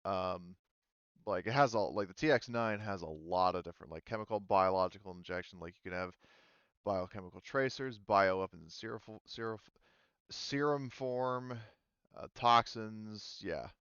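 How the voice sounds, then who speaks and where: A man speaking casually as a game master at a tabletop game, explaining things in a rambling, halting way. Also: on the low side, a bit bright, clear, resonant tone, no echo, highs cut off.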